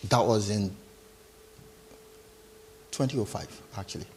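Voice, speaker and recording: A middle-aged man from Lagos with a Nigerian accent, a business founder chatting as an interview guest, hesitant and quick to laugh. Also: fairly low pitch, fairly even intonation, slight background noise. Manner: laughs easily, hesitant with many ums. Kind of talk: casual interview chat.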